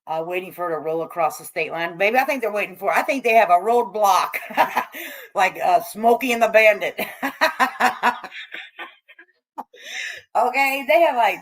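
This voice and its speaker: A middle-aged or older American woman hosting a casual livestream, chatting with viewers in a salty, slangy, irreverent way on the slightly low side. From United States, raised among hippies. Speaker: livestream host reading and answering chat. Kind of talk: casual livestream chat, joking commentary.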